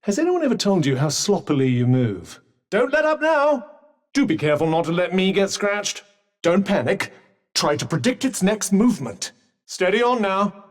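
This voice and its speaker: A sardonic talking bracelet in a video game, to the core and full of dry, condescending quips. His voice sits a touch high and leaps around expressively, mocking one moment and clipped the next. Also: big pitch swings, moderate pace. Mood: urgent. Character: sardonic talking magic bracelet, male, adult.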